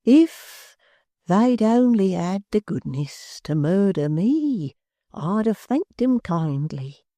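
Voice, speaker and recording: A woman voicing a stunned, dazed old male innkeeper who speaks in a slow, ponderous mutter. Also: mid-range voice, sing-song, warm tone, dry recording, pitched above the performer's own voice.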